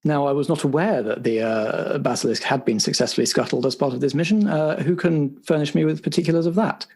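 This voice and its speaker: A man's voice: fairly high pitch, fairly quick, darkish tone, breathy edge. A man playing a stern, bureaucratic spy boss chairing a debriefing in an improvised audio roleplay. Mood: probing, formal. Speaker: game master.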